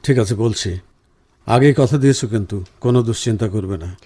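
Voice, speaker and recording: Caring, reassuring husband, close and dry. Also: male voice, lowish voice, brisk, warm tone, slightly rough, dry recording. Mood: grave, soothing. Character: caring, reassuring husband, senior professional, firm but gentle. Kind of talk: Bengali radio drama acting.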